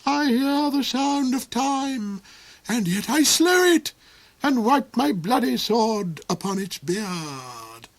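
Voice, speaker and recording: High-pitched, ranting villainous duke. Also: male voice, sing-song, slow, heavily acted. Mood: bloodthirsty ranting. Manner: melodramatic, snarling and squealing, declaiming.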